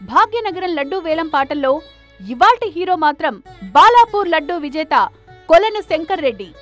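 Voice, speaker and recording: High-voiced woman narrating news. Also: lively intonation, normal speed, a bit bright. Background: background music.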